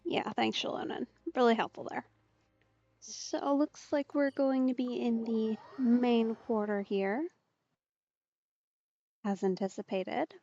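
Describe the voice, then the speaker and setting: A woman's voice: a little high, fairly even intonation, takes it slowly, pauses often, mellow, lo-fi sound. A woman commentating casually on a game as she plays, chatty and reactive. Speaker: gaming host. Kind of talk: let's play commentary.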